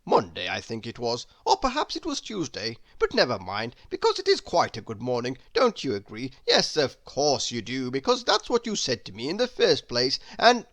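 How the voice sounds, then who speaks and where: A woman's voice: extremely low pitch, huge pitch swings, moderate pace, hardly any pauses, fairly bright tone, exaggerated voice. Woman acting out a nonstop, swooping storybook chatterbox man. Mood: rambling, chatty. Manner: digressive, second-guessing himself aloud. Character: rambling, endlessly chattering cartoon man, Mister Chatterbox. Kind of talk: children's storybook character voice.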